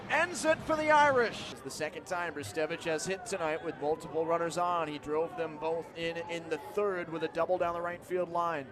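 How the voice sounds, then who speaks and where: American guy doing radio play by play, really high excitable voice, clipped phrases, loud crowd noise behind him. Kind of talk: live sports play-by-play commentary. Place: sports venue broadcast position. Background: crowd and venue noise.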